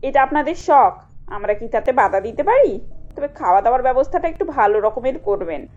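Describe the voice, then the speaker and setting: A woman's voice: high voice, lively intonation, brisk, darkish tone, lo-fi sound, faint music behind. A woman voicing a pushy, self-important middle-aged mother-in-law in a cartoon, breezy and falsely sweet as she makes demands. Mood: sweetly demanding. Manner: breezy, insistent, polite demands.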